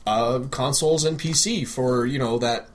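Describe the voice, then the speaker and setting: A man's voice: mid-range voice, moderate pace. A man hosting a podcast, explaining things in a casual, conversational way. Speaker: podcast host. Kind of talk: casual chat. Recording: clear background noise, clicks.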